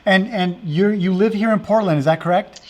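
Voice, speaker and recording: High-voiced man hosting, meandering. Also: brisk. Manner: meandering, earnest, filler-heavy. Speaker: festival host interviewing dancers. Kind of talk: casual interview chat.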